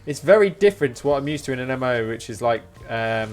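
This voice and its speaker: A man's voice: mid-range voice, lively intonation, quick pace. A man streaming a game, chatting informally with rambling explanations. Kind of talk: casual live-stream chat while gaming. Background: game music.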